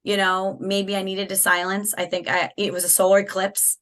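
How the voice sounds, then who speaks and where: A woman hosting a podcast interview over a video call, speaking in a casual, affirming way, her voice a little raspy after losing it. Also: mid-range voice, little pitch movement, normal speed. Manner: warm, affirming, frequent agreeing interjections. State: slightly raspy from a lost voice. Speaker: podcast host.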